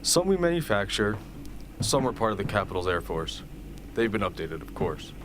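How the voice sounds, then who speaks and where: Deep-voiced military officer, man acting, noisy recording. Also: sing-song, moderate pace. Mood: matter-of-fact. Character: measured, pragmatic male military officer. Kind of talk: amateur radio drama acting.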